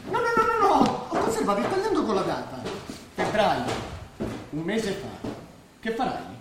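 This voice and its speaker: Restless, high-pitched young war veteran. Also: male voice, very animated, normal speed. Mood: agitated. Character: disillusioned 27-year-old war veteran, restless, wistful dreamer. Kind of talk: Italian stage drama acting. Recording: very echoey stage, distant, faint noise.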